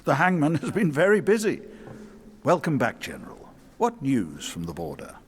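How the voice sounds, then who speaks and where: An actor playing a sly, wry royal advisor who narrates a fantasy audio drama in a measured, courtly, sardonic way. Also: male voice, a little high, huge pitch swings, slight background noise. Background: music.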